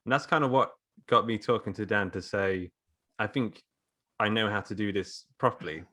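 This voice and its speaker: A man's voice: on the low side, moderate pace, fairly bright tone. A man who teaches writing, speaking casually as a guest in a podcast conversation.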